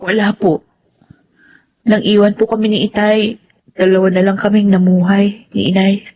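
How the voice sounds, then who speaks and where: A woman's voice: medium pitch, flat delivery, normal speed, lo-fi sound, natural voice. An actress playing a respectful young woman in a Filipino radio drama, reflective and polite, with a Filipino accent. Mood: polite, subdued. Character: gentle, respectful young woman from poor family. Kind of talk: radio drama acting and first-person narration.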